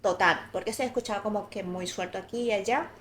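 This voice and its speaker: A woman co-hosting a podcast, telling personal stories candidly in a relaxed chatty way. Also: mid-range voice, a little fast, slight background noise. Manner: candid storytelling with light laughter. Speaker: podcast co-host. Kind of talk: casual conversational podcast chat.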